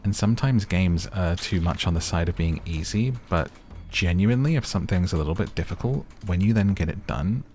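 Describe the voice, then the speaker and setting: Low, soft-spoken man doing gameplay ASMR. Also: brisk, few pauses. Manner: soft-spoken, gentle, chatty. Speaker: gaming video host. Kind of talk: relaxed ASMR gameplay commentary. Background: game music.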